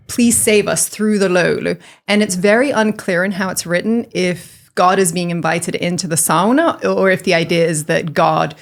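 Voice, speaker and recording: A woman talking as a guest, explaining things in a thoughtful, articulate, conversational storytelling way. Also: lowish voice. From grew up outside Finland, Finnish parents. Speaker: guest, writer and amateur sauna historian.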